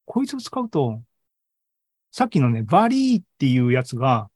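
A man hosts a casual online presentation in a mid-pitched voice. He speaks haltingly, thinking aloud between points. The sound is dry, with little room in it. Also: big pitch swings, darkish tone, dry recording. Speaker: podcast host and tech presenter. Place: online video meeting talk, dry close sound.

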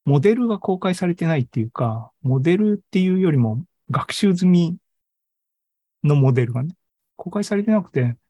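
A man with a soft-edged, mellow and rather husky voice gives an informal tech presentation. He talks conversationally, dropping in asides and little tag words as he explains. The sound is close and dry. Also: a little high, dry recording. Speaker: presenter at an AI hobby forum and podcast host. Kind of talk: informal live tech presentation over an online meeting. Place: online Zoom live session.

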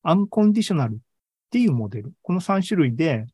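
A man giving a casual live presentation as host, halting and thinking aloud as he goes. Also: on the high side, sing-song, mellow, breathy edge, no echo, studio quality. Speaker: podcast host and tech presenter. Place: online video meeting talk, dry close sound.

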